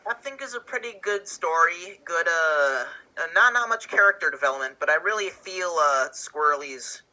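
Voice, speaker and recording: Casting: male co-host on a video call, piercingly bright, slightly high voice, laconic casual chat. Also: lively intonation, normal speed, poor audio.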